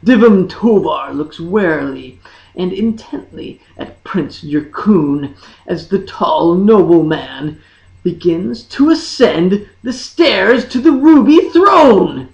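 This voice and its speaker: A woman narrating a fantasy story in a dramatic, deliberate, theatrical manner with pauses for effect. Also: on the low side, lively intonation, vocal fry, darkish tone. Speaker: audiobook narrator. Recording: dull lo-fi, echoey room.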